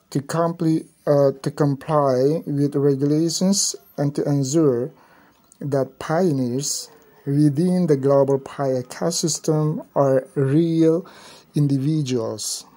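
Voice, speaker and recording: Indonesian woman, low pitch, mellow tone, unhurried tutorial narration. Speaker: tutorial presenter. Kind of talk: informal screen tutorial narration.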